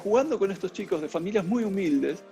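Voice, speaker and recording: A man's voice: high voice, expressive, brisk, gravelly, mellow, faint music behind. A middle-aged man with a Buenos Aires accent, telling his life story as an interview guest in a rambling way, full of fillers. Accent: Buenos Aires Argentine Spanish. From Argentina. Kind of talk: casual interview.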